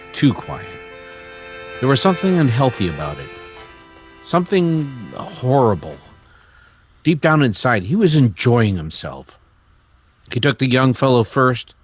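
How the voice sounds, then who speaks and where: A man playing a brooding narrator of a radio western, grave and dramatic in delivery. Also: mid-range voice. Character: brooding western narrator who witnessed the events.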